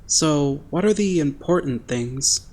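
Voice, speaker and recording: A man's voice: on the high side, animated, takes it slowly, darkish tone. A man voicing an earnest, naive teenage boy idol in an anime game fandub, frank and guileless. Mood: innocent curiosity.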